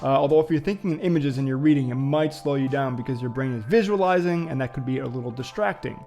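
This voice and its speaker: A man's voice: mid-range voice, quick pace, gravelly, a little mellow, soft background music. A man hosting a casual vlog, musing and thinking aloud to viewers with frequent fillers and hesitations. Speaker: vlogger host. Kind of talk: casual reflective vlog talk.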